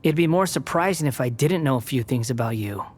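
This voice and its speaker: A man's voice: medium pitch, animated, fairly quick, a little mellow, lightly acted. A man voicing a sardonic young male puppet held as a prisoner in a game cutscene; his speech is dry, mocking and aloof.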